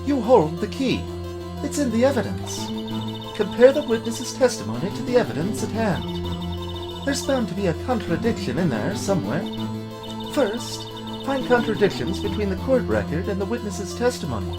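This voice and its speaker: A man's voice: high-pitched, sing-song, moderate pace, loud music behind the voice, voice pushed up. A man voicing a confident female lawyer who firmly coaches a rookie in a video game. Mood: instructive. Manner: instructive, assured.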